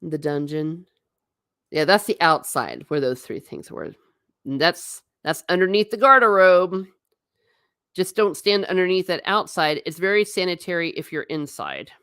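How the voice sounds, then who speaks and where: A woman's voice: lowish voice, expressive, a little slow, a bit bright, smooth, no room sound, studio quality. A middle-aged woman chatting on a stream about travel photos, rambling and self-deprecating. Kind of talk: casual stream commentary.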